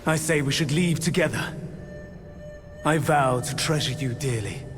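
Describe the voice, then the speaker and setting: Actor as a slow-speaking, silver-tongued scheming immortal. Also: male voice, medium pitch, close to an everyday voice. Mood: amorous. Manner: grave, insinuating, formal, theatrical. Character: scheming, silver-tongued male immortal wooing a married woman. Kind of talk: dramatic video game cutscene acting. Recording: voice under prominent music.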